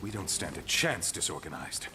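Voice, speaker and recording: An actor playing a cautious, steady soldier in a fantasy game, with a terse, serious manner. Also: male voice, sits low, normal speed, full character voice. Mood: cautioning. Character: cautious, steady male soldier, tactical and sober. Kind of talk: scripted fantasy game voice acting. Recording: game music behind the voice.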